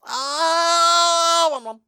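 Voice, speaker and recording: A woman voicing a frantic, excitable little female creature barkeep who talks in broken, simple bursts in a cartoony audio drama. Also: very high-pitched, very slow, dry recording, full character voice. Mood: frenzied craving. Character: manic, greedy little female cat-dog creature barkeep.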